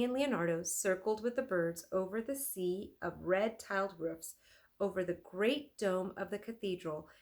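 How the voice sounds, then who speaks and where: A woman teacher reading a children's story aloud in an expressive, deliberate manner. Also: mid-range voice. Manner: deliberate, clearly phrased, animated.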